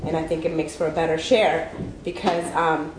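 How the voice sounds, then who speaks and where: A woman from Ethiopia giving an unprepared personal testimony at a recovery meeting, halting and candid with many fillers and restarts. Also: low-pitched, steady tone, heavy background noise. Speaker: speaker sharing her story at a recovery meeting. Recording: echoey room with faint ambience.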